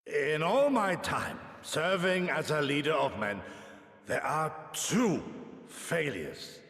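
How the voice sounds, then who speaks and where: An actor playing an aging, proud samurai in a game cutscene, solemn and humble, speaking in formal, archaic, halting oratory with a gravelly voice. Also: male voice, on the high side, very animated, fairly bright tone, full character voice. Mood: remorseful. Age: older. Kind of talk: dramatic game cutscene acting. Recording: echoey hall with long reverb.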